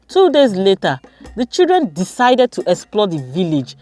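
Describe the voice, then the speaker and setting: A woman's voice: fairly low pitch, a little mellow. A woman narrating a scripted folktale for children as a storyteller.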